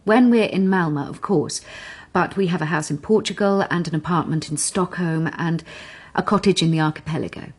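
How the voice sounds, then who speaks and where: An actress playing an aloof, snobbish wealthy widow in a radio drama, cool, languid and evasive. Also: female voice, fairly low pitch, moderate pace, slightly rough.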